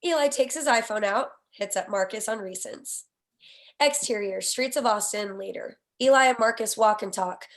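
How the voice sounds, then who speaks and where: A woman's voice: medium pitch, moderate pace. A woman reading out scene headings and action lines as scripted narration for a screenplay table read. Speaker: stage direction reader.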